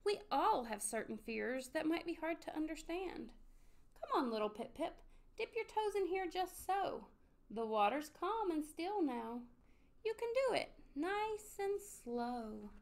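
A woman as a tender, high-voiced storybook mommy. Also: sing-song, measured pace, gravelly, heavily acted. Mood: gentle, reassuring. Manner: soothing, encouraging, tender. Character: gentle, reassuring storybook mother of a little one.

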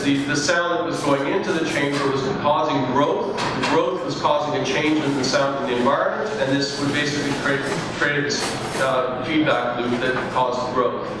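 A man's voice: medium pitch, background noise. A man giving a live science talk with slides, explaining step by step with frequent fillers and restarts. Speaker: speaker at a public science talk. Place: event room with projected slides. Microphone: hand microphone, recorded from the audience. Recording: echoey room, taken from far off.

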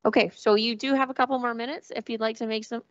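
A woman's voice: fairly high pitch, steady tone, quick pace, no echo. A woman chairing a council meeting, firm and procedural as she manages speakers. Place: council chamber. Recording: dry, dull council chamber recording.

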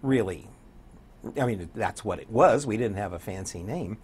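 A man's voice: on the low side, expressive, normal speed, darkish tone, husky. A man in his sixties chatting as an interview guest, telling stories in a rambling, conversational way. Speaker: radio program director, guest. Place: small TV studio, indoors.

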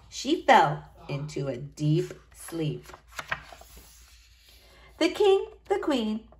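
A woman reading a storybook aloud to young children, expressively, in the manner of a school librarian. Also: mid-range voice.

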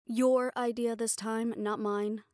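A woman's voice: on the high side, flat delivery, slow, a little mellow, no room sound. A woman playing a sardonic, capable personal assistant to a mafia boss in an intimate audio roleplay, speaking in a dry, familiar, businesslike way. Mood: dry, confident. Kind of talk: intimate scripted audio roleplay, one-sided dialogue.

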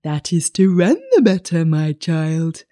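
A woman voicing a sly, hungry male wolf with slow, coaxing, falsely sweet speech. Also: low-pitched, warm tone. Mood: sly, menacing.

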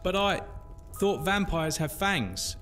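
Bright, high-voiced cheeky man, played by an actor. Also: very animated, takes it slowly, full character voice. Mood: curious. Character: cheeky, nosy, mocking young man. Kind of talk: immersive audio drama roleplay. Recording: music behind the voice.

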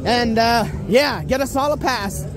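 A woman vlogging her walk through a dark haunted maze, chatting and reacting with startled exclamations to the actors. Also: fairly high pitch, measured pace. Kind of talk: casual running commentary. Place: dark indoor haunted maze at a theme park. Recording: loud music and crowd behind.

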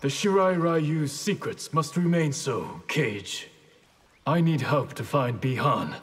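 A stern, solemn ninja clan leader in a fighting game. The voice is husky and mid-pitched, and every line is formal and honour-bound. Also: male voice, lively intonation, slow. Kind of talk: video game fight intro dialogue.

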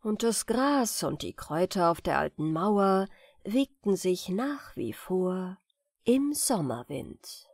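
A woman reading comic folk tales as an audiobook, telling them expressively with deliberate dramatic pauses. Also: fairly low pitch, big pitch swings, no echo, clean studio sound. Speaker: audiobook reader.

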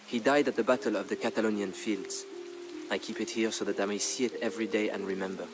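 A man with a fairly low voice narrates a historical saga. His delivery is solemn and measured, like scripted storytelling for a game campaign, with music clearly playing behind him. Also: steady tone. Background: background music.

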